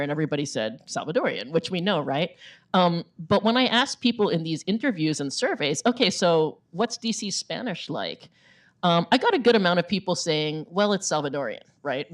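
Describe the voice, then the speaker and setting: A woman author giving a conversational book talk in an informal way, often checking in with "right?". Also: low-pitched. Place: bookstore event before an audience.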